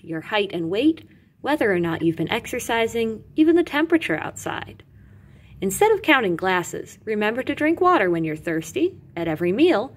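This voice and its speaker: A woman reading educational material aloud as a teacher, with animated emphasis and carefully separated lists. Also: mid-range voice. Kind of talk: expressive educational read-aloud.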